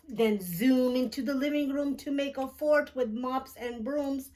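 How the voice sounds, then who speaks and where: A woman hosting storytime as a teacher, reading a picture book aloud to young children with deliberate, expressive phrasing. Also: a little high, low-quality recording.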